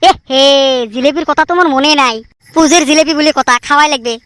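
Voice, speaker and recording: Very high, bright, fast-talking woman. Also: background noise. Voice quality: cheeky, bantering and fast. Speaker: cartoon channel creator and voice of several characters. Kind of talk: cartoon comedy voice acting.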